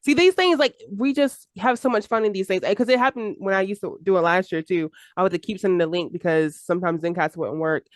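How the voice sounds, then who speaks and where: A woman hosts a relaxed chat, rambling with fillers and easy laughter. Her voice sits mid-range and sounds dry and close, with no room around it. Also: medium pitch, fast talker, hardly any pauses, no room sound. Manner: chatty, laughing, filler-heavy. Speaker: podcast host. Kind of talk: casual chat.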